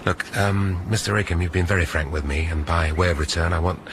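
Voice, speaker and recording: An actor playing a shrewd police inspector questioning a suspect with dry irony. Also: male voice, low-pitched, fairly even intonation, a little fast, noisy background. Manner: dry, probing.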